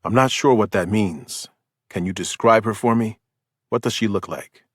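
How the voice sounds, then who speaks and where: Patient police detective, read by a narrator, gentle questions, low dry voice, audiobook. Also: male voice, moderate pace, slightly rough, no echo.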